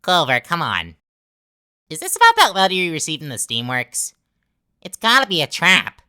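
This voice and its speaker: A woman's voice: lowish voice, wildly swooping pitch, moderate pace, sharp tone, clear, resonant tone, heavily acted. A woman voicing a manipulative talking flower who poses as a best friend, with a taunting, sarcastic, theatrical delivery in a game dub. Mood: coaxing, scornful. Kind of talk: dramatic game fan dub.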